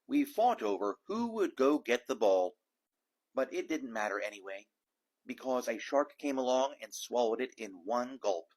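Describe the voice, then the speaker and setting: A man reading a children's storybook aloud in an expressive narrating style. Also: mid-range voice, normal speed. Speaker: storybook reader.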